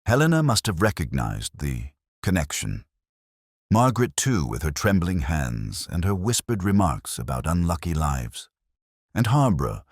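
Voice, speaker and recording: A man narrating a scripted first-person detective mystery in a solemn, literary, measured way. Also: a bass voice, no room sound, clean studio sound.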